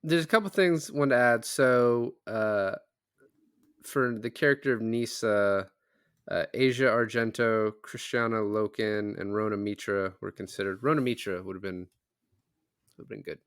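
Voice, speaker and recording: A man's voice: on the low side, moderate pace, fairly bright tone, clean tone, dry recording, clean studio sound. A man co-hosting a casual film chat, blunt and joking, with some swearing. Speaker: podcast co-host.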